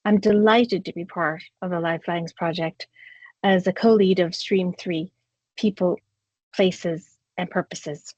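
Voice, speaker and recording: A woman's voice: fairly low pitch, steady tone, unhurried, darkish tone, no echo. A woman from the United States, a psychology professor, introducing herself with frequent hesitant fillers. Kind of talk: self-introduction. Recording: dry, dull lo-fi audio.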